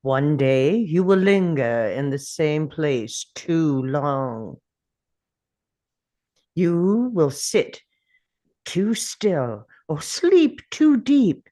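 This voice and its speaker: A man reading an ominous, solemn narrator in a measured, portentous way, as a dramatic voice-over at a script table read. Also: a little high, a little mellow, full character voice. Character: ominous, solemn narrator warning of relentless pursuit.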